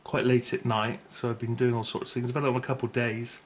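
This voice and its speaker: A man's voice: mid-range voice, flat delivery, fast talker. British man rambling casually, noisy recording. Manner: rambling, tentative, self-correcting. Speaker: video host reviewing gear. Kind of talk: casual unscripted product review. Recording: clear background noise, room ambience.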